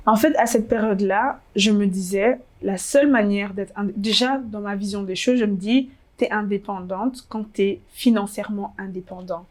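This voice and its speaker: A woman's voice: mid-range voice, moderate pace, hardly any pauses. A woman in her twenties from Congo, telling her story in a casual interview, hesitant with many fillers and restarts. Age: 20s. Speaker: interviewee, fashion blogger.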